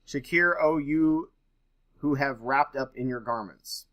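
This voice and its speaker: A man hosts an informal read-along, switching between reading text aloud and commenting on it. His voice sits at an average male pitch. He comes across as skeptical, with a dry streak of sarcasm. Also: takes it slowly, a bit bright, low-quality recording. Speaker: host.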